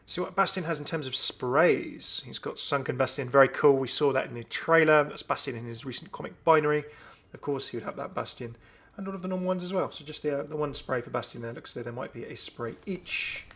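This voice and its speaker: Creaky-voiced man doing game commentary. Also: medium pitch, a little fast, hardly any pauses, a bit bright. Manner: enthusiastic. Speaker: gaming video host. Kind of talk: casual commentary on a game update.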